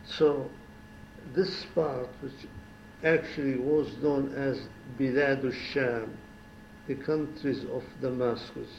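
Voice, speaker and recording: Slow, gravelly older man, reminiscing. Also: mid-range voice, fairly even intonation, roomy sound, muffled recording, slight background noise. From Syria. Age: 70s. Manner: slow, reflective, pauses and restarts. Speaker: former ambassador, interviewee. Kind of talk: oral history interview. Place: home.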